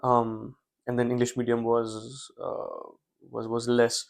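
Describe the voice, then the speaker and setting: A man from Gujarat, a Gujarati speaker, hosting a casual podcast interview, asking questions and filling pauses with "um". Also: mid-range voice, monotone, creaky. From Gujarat, India. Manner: asks questions, frequent fillers. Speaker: podcast host.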